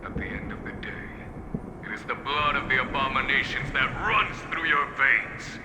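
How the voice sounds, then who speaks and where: Game role: man voicing a cold commander, mid voice, echoey with loud ambience. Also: mid-range voice, moderate pace, background noise, natural voice. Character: cold male commander distrusting a half-beast ally. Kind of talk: video game cutscene acting.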